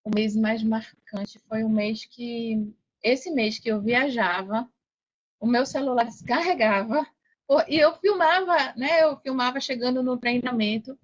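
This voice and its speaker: A woman's voice: a little high, measured pace. A Brazilian woman speaking casually as an interview guest, recounting her experience. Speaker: podcast guest, affiliate marketer. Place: online video call. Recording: dull, lo-fi call audio.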